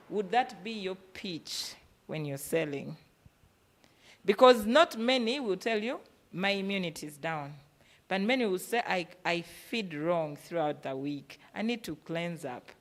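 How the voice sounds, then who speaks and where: A woman's voice: mid-range voice, a bit bright. A woman with a Ugandan accent speaking as a business workshop presenter, emphatic and instructive, often posing rhetorical questions. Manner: emphatic, asking rhetorical questions and answering them. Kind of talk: motivational business talk.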